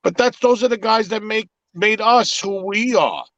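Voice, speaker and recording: A man's voice: high voice, moderate pace, a bit bright, no room sound, dull audio. A man whose first language is Italian, talking in a casual, reflective way on a live podcast, with many restarts. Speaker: podcast host.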